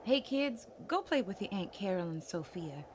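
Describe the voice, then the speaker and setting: A woman's voice: medium pitch, sing-song, normal speed, slight background noise, lightly acted. A woman voicing a firm, caring, protective survivor in a motion comic, direct and insistent. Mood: firm, concerned. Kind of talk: comic book voice acting.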